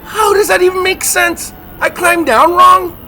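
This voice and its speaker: An actor playing an exasperated, sarcastic monster-hunting sidekick in a comedy horror audio drama, full of indignation. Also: male voice, extremely high pitch, steady tone, measured pace, sharp tone, a little hiss or hum, full character voice.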